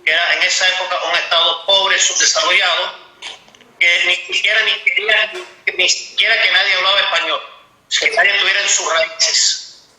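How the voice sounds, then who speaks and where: A man from the United States hosting an interview in Spanish, speaking in a halting way and repeating words. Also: high voice, steady tone, bright, forceful tone, reverberant, poor audio. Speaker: interviewer, host. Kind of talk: interview questions.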